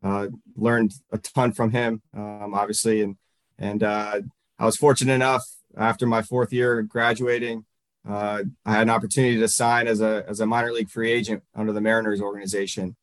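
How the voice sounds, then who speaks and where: A man in his thirties from Northern Virginia, a baseball coach answering interview questions in a halting way, full of fillers and restarts. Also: fairly low pitch, flat delivery, dry recording.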